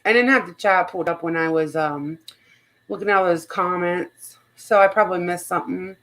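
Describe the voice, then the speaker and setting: A woman's voice: on the low side, fairly quick, fairly bright tone. A woman hosting a casual livestream chat, speaking in a blunt, outspoken way and swearing freely. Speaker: livestream host.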